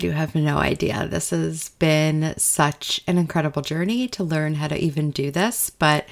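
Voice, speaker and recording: A woman, hosting her own podcast in a chatty, candid, self-deprecating way. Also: sits low. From United States. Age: 40s. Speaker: podcast host and goal coach. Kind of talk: casual solo podcast talk.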